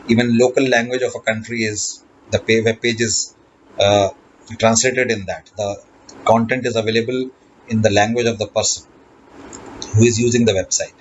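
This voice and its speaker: A man giving an explanatory lesson as a lecturer, speaking haltingly and rephrasing as he goes. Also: mid-range voice. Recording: dull lo-fi recording with background noise.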